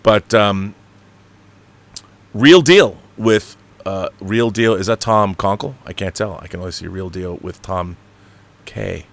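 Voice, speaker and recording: A man's voice: lowish voice, slow pace, creaky, pauses often. A man hosting a live stream, reading out viewer comments and giving his opinions in a casual, rambling, conversational way. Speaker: live stream host answering viewer messages.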